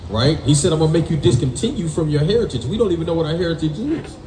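A man's voice: on the high side, animated, rapid-fire, dark tone. American man preaching, echoey and far off. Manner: emphatic, colloquial, punctuates with "right?" and "man". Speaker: street preacher teaching from scripture. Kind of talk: call-and-response Bible teaching. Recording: distant roomy mic amid people's noise.

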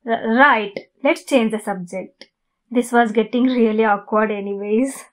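Woman acting a high-voiced, flustered demon girlfriend. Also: close to an everyday voice. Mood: evasive, nervous. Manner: halting, flustered, intimate delivery. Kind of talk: ASMR roleplay.

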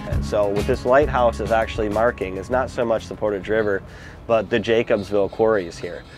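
A man in his forties from Lower Michigan, talking in a relaxed, plain way while guiding a tour on a small boat outdoors. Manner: relaxed, plain-spoken, with fillers. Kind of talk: casual interview, guiding a tour. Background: music.

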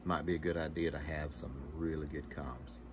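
A man's voice: rumbling low, fairly even intonation, normal speed. A middle-aged man hosting a vlog, giving casual, plainspoken advice to camera in short clipped phrases. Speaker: video host. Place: indoors, unfinished workshop or basement. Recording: noisy room sound.

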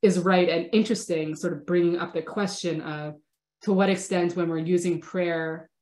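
A woman in her thirties with a lowish voice and a stuffy, sniffly cold teaches a class over a laptop webcam from her home study. She talks thoughtfully and casually, often restarting her sentences. The audio sounds roomy. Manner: thoughtful, hesitant restarts, warm engagement with participants. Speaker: rabbi teaching an online class. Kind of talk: casual online lecture answering chat questions. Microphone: laptop webcam video call.

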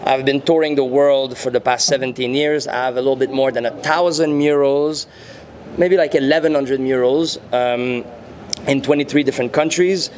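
Quebec French-accented man in his thirties, slow reflective interviewee, light noise behind. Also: mid-range voice, fairly even intonation, darkish tone. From French-speaking Canada. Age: 30s. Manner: unhurried, searching for words, frequent fillers. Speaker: interviewee, muralist and rapper. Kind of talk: casual interview answers. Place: indoor venue before a painted backdrop. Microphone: handheld mics held near the chin.

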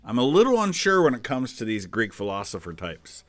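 A man's voice: medium pitch, big pitch swings, fairly quick, no echo, soft background music. A man in his forties giving casual, off-the-cuff commentary as a channel host, thinking aloud and explaining as he goes. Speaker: host of a history reaction channel.

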